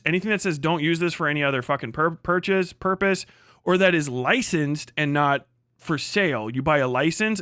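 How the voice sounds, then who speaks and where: A man's voice: a little high, a bit bright, no room sound, studio quality. A man from the United States giving off-the-cuff livestream commentary in a blunt, emphatic, swear-heavy way, repeating phrases to drive points home. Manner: blunt, heavily profane, emphatic.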